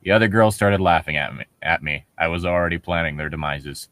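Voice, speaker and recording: A man's voice: low-pitched, fairly quick, a bit bright, no room sound, clean studio sound. A man doing a mocking dramatic reading with sarcastic, profane ad-lib commentary and a giggly manner. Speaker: co-reader riffing on a horror story.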